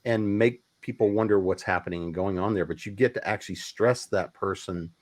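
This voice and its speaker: Low-voiced man on an author panel. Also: brisk. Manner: loose, conversational, with fillers and restarts. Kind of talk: casual panel discussion.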